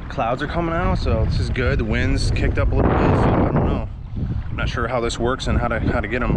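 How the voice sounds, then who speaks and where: A middle-aged man chatting casually and colloquially to camera in a laid-back vlog style, outdoors on the water. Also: medium pitch. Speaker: vlogger, kayak angler. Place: outdoors on a kayak on open water. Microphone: action camera at about arm's length. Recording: loud wind and water noise.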